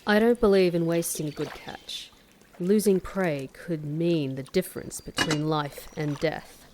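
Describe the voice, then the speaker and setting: A woman acts out a proud young female werewolf in an audio drama. She speaks fairly low, with clipped, formal disdain and a haughty temper. Some noise sits behind the voice. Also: steady tone, a little slow, darkish tone. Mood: stiff, proud. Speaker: voice actor in an audio roleplay. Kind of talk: scripted audio drama acting.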